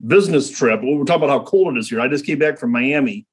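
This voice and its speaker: A man who runs a regional golf association, a guest in a radio interview, speaking in a chatty, easygoing way with a slightly raspy, raw voice from a cold. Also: medium pitch, fast talker. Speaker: golf association executive director.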